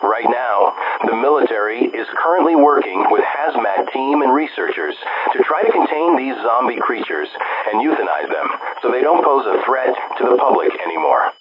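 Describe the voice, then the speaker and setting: A man of average pitch reads an emergency announcement in a formal, measured, official way. The sound is dull and lo-fi, like a radio broadcast. A faint humming noise sits behind the voice. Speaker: national emergency message announcer.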